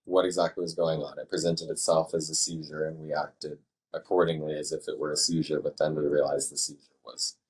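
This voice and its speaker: A man working as a fire dispatcher, answering interview questions in a measured, thoughtful way with halting phrasing. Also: a bass voice, little pitch movement, husky, poor audio.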